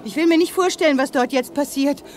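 Young female adventurer, played by a woman for sci-fi radio drama: high voice, uneasy, spirited, faint noise behind. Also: fast, natural voice. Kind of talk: German 1980s sci-fi radio play acting.

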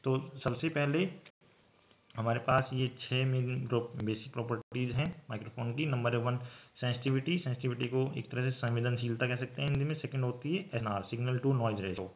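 A man's voice: medium pitch, normal speed. The audio is narrow and phone-like. A man with a mid-range voice gives an explanatory lecture. He is didactic and restates his points in other words. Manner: didactic, rephrasing each point. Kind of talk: explanatory slide lecture mixing Hindi with English technical terms. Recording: thin phone-like band-limited audio.

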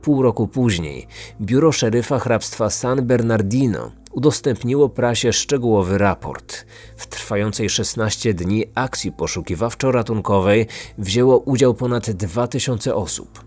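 A man's voice: on the low side, animated, unhurried, darkish tone, husky. A man narrating a scripted true-crime podcast as its host, in documentary style. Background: music.

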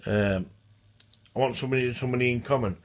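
A man's voice: medium pitch, fast. A man with a stutter, talking in a rambling, repetitive vlog monologue. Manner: repetitive, restarts and repeats phrases. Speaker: vlogger. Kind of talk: casual rambling monologue to camera.

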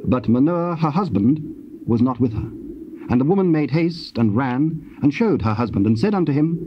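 A man narrating scripture from a script in a solemn, formal, measured storytelling manner. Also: medium pitch, expressive. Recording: dull, noisy old cassette tape.

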